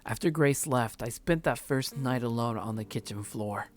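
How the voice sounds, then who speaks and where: Visual novel narrator: numb, heartbroken man read by a man, mid-pitched, brooding, subdued delivery. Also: moderate pace, soft background music, natural voice.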